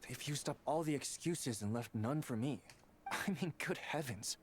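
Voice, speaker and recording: A man's voice: medium pitch, moderate pace, noisy background, close to an everyday voice. A man voicing a wry, exasperated young officer in a video game, complaining theatrically over faint ambience.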